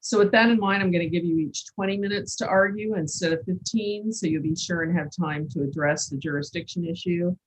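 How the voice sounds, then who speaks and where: A judge presiding over a court hearing on a video call, measured, with some fillers. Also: medium pitch, slightly rough, poor audio.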